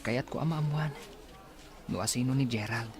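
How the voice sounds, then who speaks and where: A man's voice: medium pitch, normal speed, crowd noise, close to an everyday voice. An actor playing an earnest, courteous young man in a radio drama. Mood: polite, curious. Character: earnest, courteous young man seeking his lost sibling. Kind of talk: Ilocano radio drama acting.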